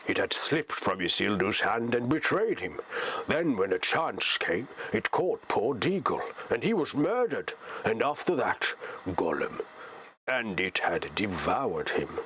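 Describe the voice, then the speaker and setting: A man's voice: medium pitch, fairly bright tone, slight background noise, low-quality recording. A Norwegian-accented man, whose first language is Norwegian, voicing a grave, wise old male wizard during a live reading. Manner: slow, solemn, weighty delivery.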